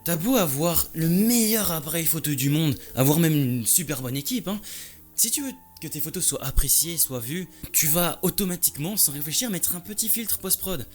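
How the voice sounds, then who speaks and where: A man's voice: on the high side, expressive, moderate pace, soft background music, lightly acted. A man playing a passionate, touchy lynx who owns a photo lab, talking in an informal, slangy way in an audio story. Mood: persuasive. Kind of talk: French audio story dialogue.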